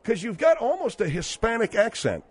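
A man hosting a radio talk show, halting with many fillers as he quizzes his guests. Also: high voice, big pitch swings, breathy edge.

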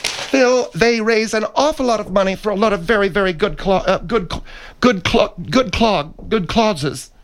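A man voicing a scatterbrained society woman who goes to charity galas, hoarse and tripping over her words. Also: high-pitched, normal speed, close to an everyday voice. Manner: flustered, trips over words. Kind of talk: comedic radio character bit.

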